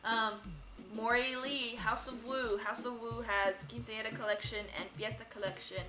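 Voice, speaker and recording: A woman's voice: on the high side, fairly even intonation, normal speed. A young adult woman chatting informally as she gives explanatory advice as a vlog host. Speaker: vlog host, boutique worker. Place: indoor dress shop. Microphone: camera a few metres away. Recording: roomy sound from camera distance.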